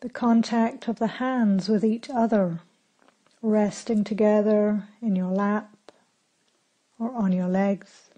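A woman meditation teacher leading a guided meditation in a calm, gentle, unhurried manner with long pauses between phrases. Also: mid-range voice.

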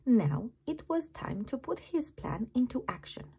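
Female picture-book narrator: higher-pitched, highly animated, slow and dramatic bedtime read-aloud. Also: gravelly, husky. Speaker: children's book reader.

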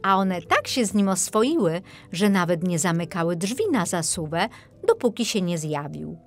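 A woman narrating a scripted children's fairy tale as an expressive audiobook storyteller. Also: on the low side, normal speed.

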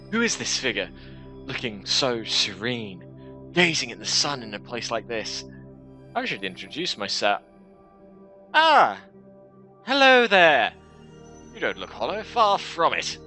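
A young man streaming a game, reading its narration aloud in a headset and breaking off into quick casual asides. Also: medium pitch, very animated, bright, forceful tone, soft background music. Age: young adult. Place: small room at home.

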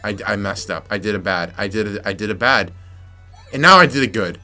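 Young male let's-player, raspy from yelling, bright voice, faint game music underneath. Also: on the low side, brisk. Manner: frustrated, muttering to himself, sudden yelps. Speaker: gameplay commentator. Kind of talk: let's play commentary.

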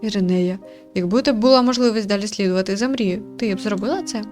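A woman's voice: mid-range voice, fast talker, darkish tone, faint music behind, lightly acted. Woman playing an earnest, solemn young village lad. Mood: sincere. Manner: solemn, old-fashioned storytelling. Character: earnest young male villager dreaming of magic.

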